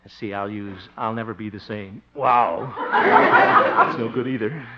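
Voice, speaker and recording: A man's voice: lowish voice, lively intonation, unhurried. An American actor playing a genial but jittery, blustering middle-aged man in an old-time radio sitcom, with theatrical, flustered delivery. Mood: muttering, uneasy. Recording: muffled, noisy early 1950s radio recording.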